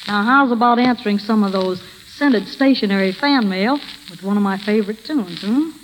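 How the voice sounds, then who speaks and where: A woman's voice: medium pitch, moderate pace, slight background noise, low-quality recording. An American woman hosting a radio variety show in a 1940s style, with polished, playful scripted banter, on a vintage broadcast recording.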